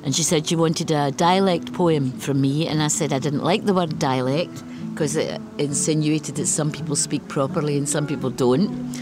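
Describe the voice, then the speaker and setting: A woman's voice: deep voice, steady tone, normal speed, over music. A woman with a Glasgow accent, a poet talking in an interview and reading a poem aloud in a thoughtful manner.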